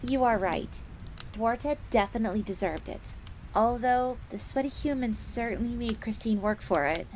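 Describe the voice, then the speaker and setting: A woman's voice: a little high, normal speed, darkish tone, natural voice. A woman voicing a polite, eager fox maid assassin who tells her tale in the third person in an audio roleplay. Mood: polite, appreciative. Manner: refers to herself in third person, genteel. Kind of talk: in-character storytelling, audio roleplay. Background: crackling fire ambience.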